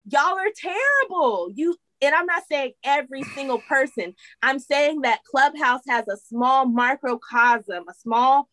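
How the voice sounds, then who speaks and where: A woman's voice: high voice, expressive, a little slow, few pauses, pushed and bright, no echo, low-quality recording. A woman co-hosting a casual podcast, talking bluntly and with exasperation, stretching out words for emphasis. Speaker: podcast co-host.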